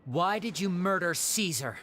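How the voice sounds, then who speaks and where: A woman's voice: lowish voice, lively intonation, a little slow. A woman voicing a cool, probing young male magician in a video game, composed and lightly taunting. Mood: taunting, firm. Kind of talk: dramatic video game voice acting.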